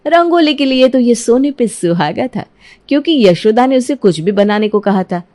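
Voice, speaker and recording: High-voiced woman storyteller. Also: expressive, normal speed, warm tone. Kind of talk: scripted storybook narration in a cartoon moral tale.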